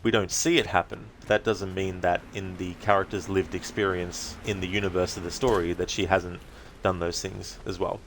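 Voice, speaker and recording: A man giving casual, reflective livestream commentary as a game streamer, speaking haltingly in short phrases. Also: low-pitched, flat delivery, a little hiss or hum.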